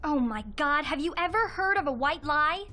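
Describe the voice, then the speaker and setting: Casting: snarky young female student, high sharp voice, exclaiming, faint music. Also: expressive, moderate pace. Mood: exasperated, sarcastic. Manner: sarcastic, exclaiming. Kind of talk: video game cutscene acting.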